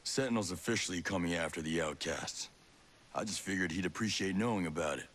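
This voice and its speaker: An actor playing a gruff, wry soldier in a video game, speaking in a dry, blunt, husky voice. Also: male voice, sits low, fairly quick, fairly bright tone. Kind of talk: video game cutscene acting.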